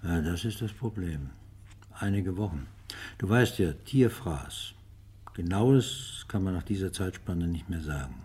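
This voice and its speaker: An actor playing a skeptical police inspector in a radio crime drama, with a husky voice and a blunt, official manner. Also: male voice, sits low, expressive, a little slow, darkish tone, exaggerated voice. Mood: matter-of-fact. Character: gruff, skeptical male police homicide inspector.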